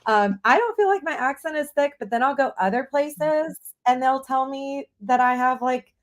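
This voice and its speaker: A woman with a light Southern accent from North Carolina walks viewers through a software demo over a video call. She talks in a friendly, casual way and drops in plenty of "like" and "um" as she goes. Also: sits high, moderate pace. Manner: friendly, uses y'all, frequent fillers like "like" and "um". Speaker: guest presenter.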